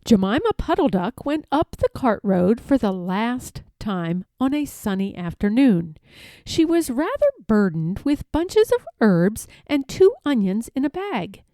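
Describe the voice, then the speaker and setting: A woman reads a children's story aloud with very lively, swooping intonation. Her voice is fairly high yet mellow, and the recording is close and dry. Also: a little slow, dry recording.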